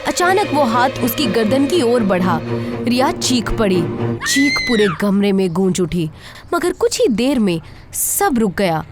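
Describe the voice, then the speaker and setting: High-pitched woman narrating horror with dramatic swings. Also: very animated, a little slow, music in the background. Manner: suspenseful, theatrical. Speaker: storyteller, narrator.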